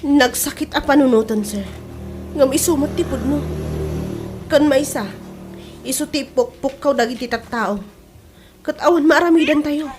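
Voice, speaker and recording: A woman's voice: high voice, normal speed, long pauses, background noise, natural voice. A woman acting a loyal, deferential campaign aide in an Ilocano radio comedy drama. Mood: consoling.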